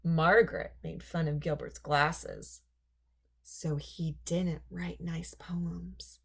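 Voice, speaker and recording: A woman reading a children's story aloud in an expressive, deliberate storytelling manner. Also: low voice, creaky, breathy edge. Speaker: storybook reader.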